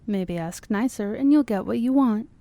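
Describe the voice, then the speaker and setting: A woman acting in an audio drama, playing a calm, soothing young woman with a wry streak. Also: fairly high pitch, moderate pace, close to an everyday voice. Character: calm, teasing young woman, terminally ill.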